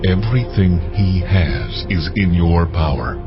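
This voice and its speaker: A man's voice: low-pitched, measured pace. A man voicing the Lord in a dramatized scripture reading, solemn and authoritative. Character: the Lord, commanding divine male voice. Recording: dull lo-fi recording. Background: cinematic music.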